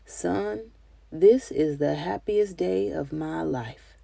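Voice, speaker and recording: A woman reader acts out an old storybook grandfather, patient and proud. The voice is mellow with some gravel, and the delivery is measured and gently reassuring. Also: lowish voice, no room sound, full character voice. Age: older. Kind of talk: children's storybook character voice.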